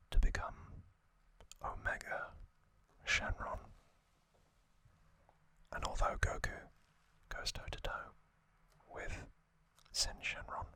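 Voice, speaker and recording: A man speaking entirely in a breathy, unvoiced whisper, telling a story in halting short phrases as an ASMR host. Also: pushed and bright.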